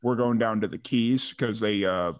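A man's voice: fairly low pitch, moderate pace. A man from Chicago hosting an interview, talking in a rambling, friendly way with lots of "uh" and restarts. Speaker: host. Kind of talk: casual interview chat.

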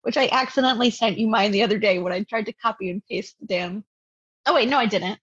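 A woman's voice: mid-range voice, quick pace, a bit bright, slightly rough, no echo, muffled recording. A woman co-hosting a podcast in casual, blunt chat with some swearing. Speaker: podcast co-host.

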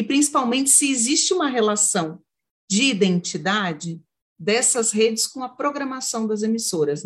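A woman hosting an academic discussion, putting questions to guests in a thoughtful, spontaneous way and rephrasing as she goes. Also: fairly high pitch. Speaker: panel host and moderator.

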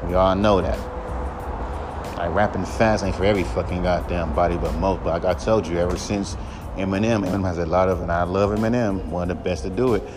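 A man with a deep voice hosting a podcast, talking bluntly and profanely in a casual solo rant. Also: fairly even intonation, fairly quick, over music. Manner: blunt, profane, mocks others in high mimicking voice. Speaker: podcast host.

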